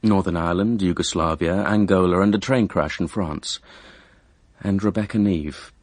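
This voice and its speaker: Husky, brooding young Englishman. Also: deep voice, moderate pace, dry recording. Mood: brooding, reflective. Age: young adult. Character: squeamish, sardonic young son narrating his own story. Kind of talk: radio drama first-person narration and dialogue.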